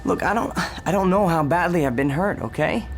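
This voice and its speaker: A man's voice: on the high side, fairly quick, vocal fry, faint music behind. An actor playing a policeman in a radio drama, hesitant, conversational and placating. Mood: urgent, pained. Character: reassuring, injured policeman humouring an old woman. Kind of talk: radio drama acting, naturalistic.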